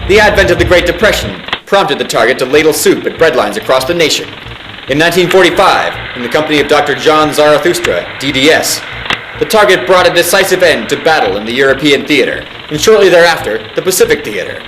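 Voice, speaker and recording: A man's voice: on the high side, lively intonation, echoey room, background noise, close to an everyday voice. A man playing a solemn military narrator, reading a mock government film in a formal, pompous manner. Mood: grave, officious. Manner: formal, pompous, deliberate enunciation. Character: solemn male military briefing narrator, grave and officious. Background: music.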